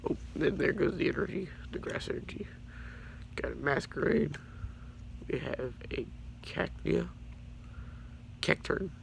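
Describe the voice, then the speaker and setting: A teenage boy with a raspy voice from being sick, casually showing his trading cards and stumbling over their names. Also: expressive, unhurried, pauses often. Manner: stumbles over card names, apologetic and rambling. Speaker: YouTuber showing his card collection. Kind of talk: casual card showcase. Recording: low-quality home audio with faint background noise.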